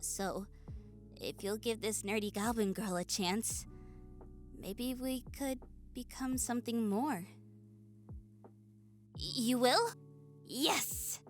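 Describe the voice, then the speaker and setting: A woman voicing a shy, nerdy young goblin woman in a romantic audio roleplay, hesitant, stammering and soft-spoken. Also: on the high side, slow pace, pauses often. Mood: delighted. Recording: music behind the voice. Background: soft music.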